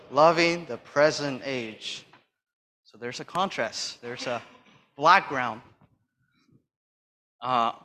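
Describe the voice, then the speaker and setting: A soft-spoken man giving a sermon and reading Bible verses, hesitant with fillers and restarts, pressing hard on key phrases. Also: medium pitch. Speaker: church speaker.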